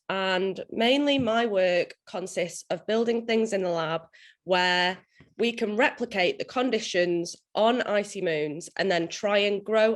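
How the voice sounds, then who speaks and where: Woman PhD student, a bit croaky, mid-pitched, public science explainer. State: croaky from being unwell.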